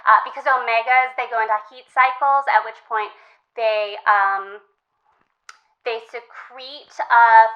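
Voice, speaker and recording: A woman playing an earnest, boy-crazy sixteen-year-old high school girl in a comedy call-in, chatting casually with plenty of filler. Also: lightly acted. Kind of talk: improvised comedy call-in interview. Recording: dull, lo-fi sound.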